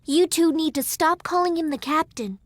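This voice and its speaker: An actress playing a gentle, principled young princess in a video game cutscene, speaking formally and earnestly. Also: female voice, sits high, normal speed, no room sound, lightly acted.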